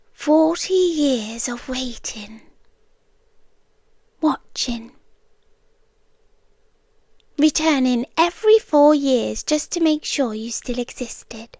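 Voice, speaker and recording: A British woman playing an ageless alien yandere girlfriend, obsessively devoted and eerily tender, in a soft, breathy, half-whispered ASMR roleplay. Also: high-pitched, dry recording, clean studio sound, heavily acted. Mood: wistful, obsessive. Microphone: close, dry microphone.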